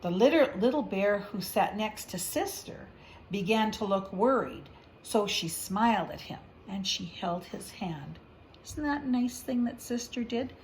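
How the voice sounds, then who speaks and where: A woman's voice: mid-range voice, expressive, a little slow, a little hiss or hum, poor audio. A woman reading a picture book aloud, with chatty asides and questions as if to a young child. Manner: conversational, explaining to a young child, gentle questions. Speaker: storybook reader.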